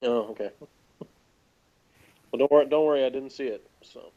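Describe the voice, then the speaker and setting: A man's voice: mid-range voice, moderate pace, mellow. Guy rambling thru a casual tv review, wry n hesitant, kinda muffled lofi audio.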